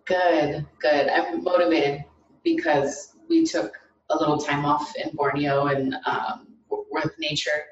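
Distant, echoey recording of a low-voiced woman. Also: a little slow. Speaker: participant in a group call. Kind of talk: casual personal check-in. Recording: muffled, roomy, distant mic with faint noise.

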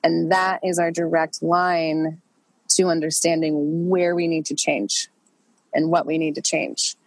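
A woman's voice: low voice, little pitch movement, unhurried, dry recording, rough recording. A woman coaching an online group in a chatty, loose way, congested from a cold. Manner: chatty, loose, many fillers and restarts. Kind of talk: casual coaching talk.

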